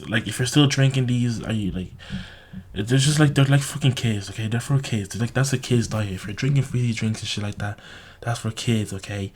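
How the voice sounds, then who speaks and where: Blunt man with a sore, raspy throat. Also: medium pitch, darkish tone. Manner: blunt, heavy swearing, repetitive, tags sentences with "okay?". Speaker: self-improvement video host. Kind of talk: casual, unscripted advice monologue to viewers.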